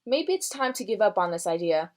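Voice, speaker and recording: A woman voicing a wise, patient talking tree in a storybook, speaking earnestly and with gentle authority. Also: mid-range voice, big pitch swings, a little fast, natural voice. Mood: resigned.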